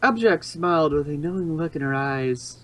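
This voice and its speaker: A man's voice: a little high, lively intonation, normal speed, a bit bright, a little hiss or hum. A man reading fan fiction aloud as narrator, informal, sometimes stumbling and rereading a phrase. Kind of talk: casual storybook narration.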